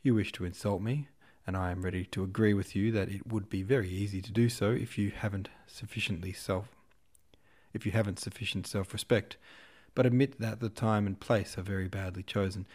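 A man reading the part of an aloof young aristocratic officer, speaking with cool, quietly contemptuous irony. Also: on the low side, steady tone, a little fast, natural voice. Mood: cool authority.

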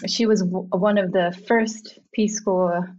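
A woman's voice: mid-range voice, steady tone, takes it slowly, darkish tone. A middle-aged woman from Samoa, talking reflectively as an interview guest, laughing often and sometimes restarting her sentences. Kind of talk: conversational interview. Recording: dull lo-fi sound.

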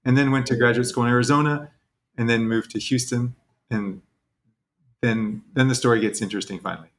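A man's voice: medium pitch, steady tone, brisk. A man from Texas talking casually as an interview guest, in a self-deprecating way. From Texas, United States.

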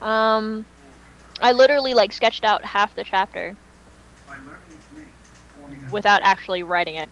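A woman's voice: medium pitch, a little slow, long pauses, fairly bright tone, poor audio. A woman co-hosting a fan podcast in casual, unscripted chat, speaking emphatically and repeating phrases for dramatic effect. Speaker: podcast co-host and fan fiction writer.

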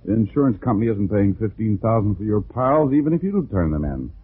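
Hard-boiled private eye, deadpan and wry, deep register, 1940s radio sound. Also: male voice, fast, husky. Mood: steady, sympathetic. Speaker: lead actor and narrator. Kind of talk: 1940s radio detective acting, first-person narration. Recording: tinny 1940s radio broadcast with music.

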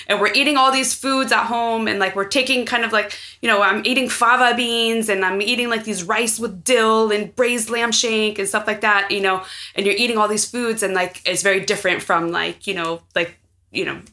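A woman's voice: mid-range voice, fairly even intonation, moderate pace, pushed and bright. An American woman chatting as a podcast guest, rambling with lots of fillers like "like" and "you know.". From United States. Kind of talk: casual podcast chat.

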